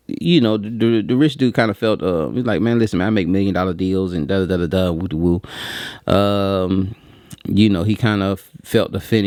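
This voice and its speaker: A man with a low voice speaks in a dry-sounding space, as if swapping stories with friends. His delivery is informal and meandering, full of slang, and he voices both sides of remembered conversations. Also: darkish tone, dry recording. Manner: slangy, conversational, acts out quoted dialogue. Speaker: print shop owner telling a story to viewers. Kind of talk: casual rambling storytelling vlog.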